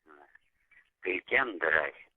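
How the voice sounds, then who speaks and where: A man's voice: low-pitched, animated, takes it slowly, husky, no room sound. A man chatting casually and privately on a phone call. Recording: muffled tinny phone call recording.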